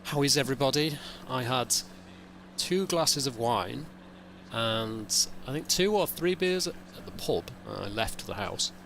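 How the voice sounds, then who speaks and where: A man streaming a sim race, with a croaky, hungover voice, rambling and thinking aloud in casual chat. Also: medium pitch, animated, a little slow, a bit bright, a little hiss or hum. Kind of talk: casual live-stream commentary.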